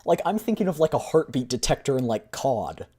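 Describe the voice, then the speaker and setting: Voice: medium pitch, sing-song, a little fast, mellow, husky. A streamer doing a voiced readthrough of a game story, narrating the script and adding casual commentary.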